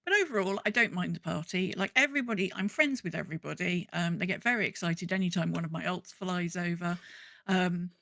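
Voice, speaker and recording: A woman's voice: lowish voice, fairly quick, vocal fry, a bit bright, no room sound. A woman co-hosting a podcast, chatting casually about an online game. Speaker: podcast co-host.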